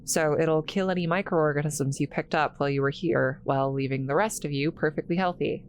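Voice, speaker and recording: A woman playing an awkward, nervous scientist in an audio drama, rambling haltingly as she talks to a patient. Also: sits low, fairly even intonation, a little hiss or hum. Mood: coaxing, awkward. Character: flustered awkward young woman government scientist tending an alien. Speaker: voice actor. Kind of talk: scripted roleplay audio drama, one-sided dialogue.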